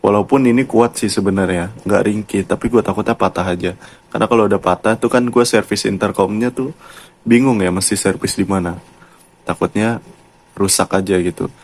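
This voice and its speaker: Low-pitched Indonesian man, casual vlogger. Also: brisk. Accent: Jakarta-style colloquial Indonesian. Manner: informal, chatty, addresses viewers as mates. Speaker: YouTube motovlogger and product reviewer. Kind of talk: casual product review to camera.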